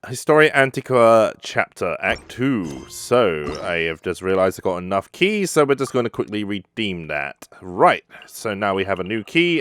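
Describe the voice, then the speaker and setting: A man's voice: fairly low pitch, a bit bright, a little hiss or hum. A young man hosting a gaming channel, giving casual commentary and reacting aloud to the story as he plays.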